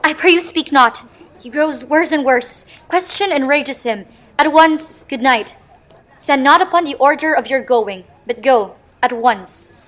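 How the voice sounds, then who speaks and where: A woman's voice: sits high, unhurried, a bit bright. A woman playing a commanding, scornful queen in a dramatic reading of Shakespeare. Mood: urgent. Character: commanding, scornful queen covering for her husband.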